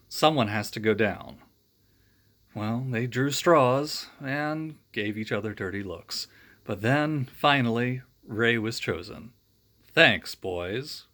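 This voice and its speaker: A man's voice: medium pitch, fairly bright tone. A man reading a children's storybook aloud in a friendly way, adding joking asides. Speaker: storybook reader.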